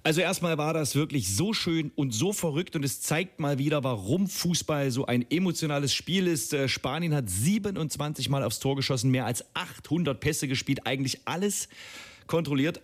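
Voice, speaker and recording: A man's voice: a little high, expressive, normal speed. A man giving a football match report and analysis as a sports reporter.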